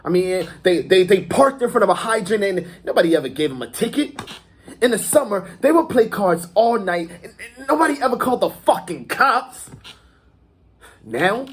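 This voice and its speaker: A man performing a dramatic monologue as a swaggering, foul-mouthed gangster reminiscing about his youth, halting and repeating words for emphasis. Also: mid-range voice, animated, slightly rough, full character voice. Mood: proud, fired up.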